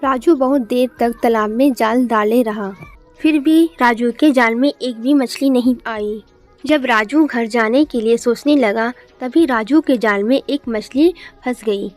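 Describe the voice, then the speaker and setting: A woman's voice: a little high, measured pace, darkish tone, soft background music. A woman reading scripted narration for a moral story, as a storyteller.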